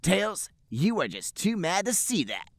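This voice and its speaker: A man's voice: sits high, huge pitch swings, a little slow, vocal fry, no echo, studio quality, voice pushed up, heavily acted. A man voicing an earnest, pleading male teen hedgehog in a fan fiction read-aloud. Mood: insistent.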